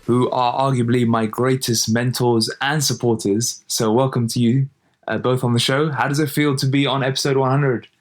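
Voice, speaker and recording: A man hosting a podcast episode and interviewing his parents in an upbeat, lively way. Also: medium pitch, moderate pace. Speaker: podcast host.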